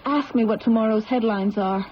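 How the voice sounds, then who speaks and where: A woman's voice: on the high side, normal speed, natural voice. An actress playing a troubled married woman who believes herself cursed, in an intense, dramatic radio-drama style. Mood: relieved, tender. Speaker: actress in a radio mystery play. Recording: noisy, muffled old radio recording.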